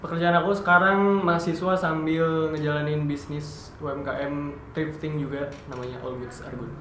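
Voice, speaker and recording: A twenty-year-old man answering interview questions softly, his sentences trailing off. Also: on the high side, flat delivery, moderate pace. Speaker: interviewee, student. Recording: echoey room, noisy background.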